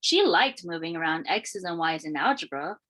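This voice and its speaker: A woman reading a children's picture book aloud in an expressive, deliberate storytelling manner. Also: lowish voice. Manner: expressive, questioning lifts and deliberate phrasing. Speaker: read-aloud storyteller, teacher.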